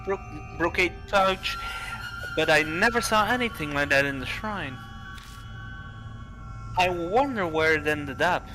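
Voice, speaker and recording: A man reading visual novel dialogue and narration aloud on a livestream, halting and stumbling over some words. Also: a little high, animated, over music, rough recording.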